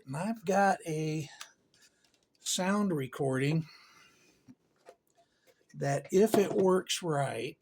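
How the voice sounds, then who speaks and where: A man's voice: a little high, creaky, background noise. A man giving a casual hands-on tech tutorial in a folksy, rambling way with informal grammar. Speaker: tutorial presenter.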